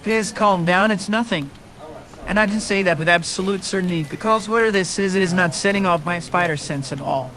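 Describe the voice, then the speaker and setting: Earnest young science-whiz hero, male actor, high-pitched and resolute, comic dub with slight ambient noise. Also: moderate pace, close to an everyday voice. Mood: reassuring. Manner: earnest, narrating inner thoughts.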